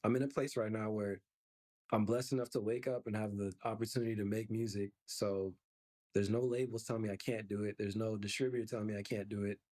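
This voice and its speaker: A man's voice: fairly low pitch, fairly even intonation, fast, a little mellow. A young man who is a musician, answering podcast interview questions in an easygoing, reflective way with lots of fillers. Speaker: musician guest.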